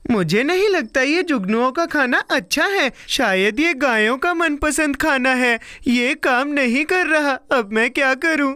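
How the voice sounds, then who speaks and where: Eager little cartoon boy, high-pitched and unhurried, childlike and dramatic, music behind. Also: exaggerated voice. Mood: puzzled, let down. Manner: childlike, expressive. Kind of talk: children's cartoon dub acting.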